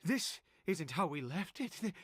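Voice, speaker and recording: An actor playing an anxious, fervent believer in a video game, with a husky voice and a halting, dramatic delivery. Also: male voice, high-pitched, sing-song, fairly bright tone, exaggerated voice. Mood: anxious dread. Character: anxious, fervent male believer, desperate and awestruck.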